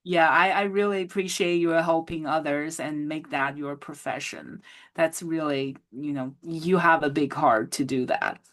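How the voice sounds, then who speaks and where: A woman's voice: low-pitched, fairly even intonation, a little slow. A woman hosting a casual interview on a video call, talking in restarts and tag questions. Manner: warm praise, many restarts and tag questions. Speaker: host.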